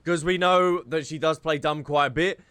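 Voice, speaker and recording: Higher-voiced man, casual game streamer. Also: fairly even intonation, normal speed, fairly bright tone, smooth, dry recording. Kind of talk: casual gameplay commentary, reading choices aloud.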